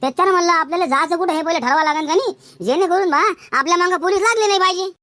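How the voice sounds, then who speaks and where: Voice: very high-pitched, rapid-fire, bright, forceful tone, dry recording. A performer voicing a fretful, distrustful cartoon villager who keeps questioning, with a rural Varhadi Marathi accent. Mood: worried. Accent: rural Maharashtra, Varhadi Marathi. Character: anxious, cautious villager in a comic cartoon. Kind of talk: comic cartoon dialogue.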